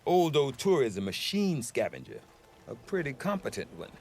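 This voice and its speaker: An actor playing a jovial, wry frontier trader in a video game, affable and folksy in manner. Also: male voice, mid-range voice, sing-song, normal speed, a little mellow, a little hiss or hum. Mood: pleased, wry. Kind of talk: video game cutscene acting.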